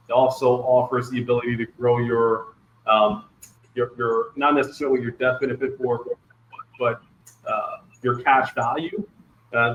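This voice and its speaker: Halting man in a roomy, muffled recording. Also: mid-range voice. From Liberia, grew up in Ohio. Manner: halting, often repeating words. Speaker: guest, financial services wholesaler. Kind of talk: conversational interview answers. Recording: roomy, muffled, noisy sound.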